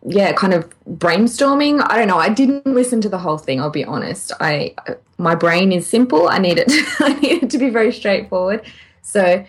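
A woman's voice: medium pitch, lively intonation, moderate pace. A woman co-hosting a podcast in casual conversation, candid, informal and a little self-deprecating. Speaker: podcast co-host.

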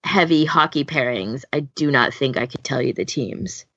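A woman's voice: very deep voice, normal speed, fairly bright tone, breathy edge, no room sound. A woman podcast host chatting casually on a slightly dull recording. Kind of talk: casual chat.

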